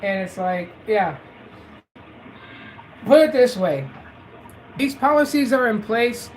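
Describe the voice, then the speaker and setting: A man hosting a livestream, rambling in a loose, repetitive way and drifting between louder and quieter stretches. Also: sits high, animated, slow, slight background noise, poor audio. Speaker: livestream host. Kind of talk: casual livestream rant.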